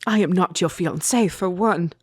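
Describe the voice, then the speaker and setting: A woman voicing a defiant young commoner in a fantasy romance audio drama, in a breathy voice. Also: lowish voice, moderate pace. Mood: defiant, hushed.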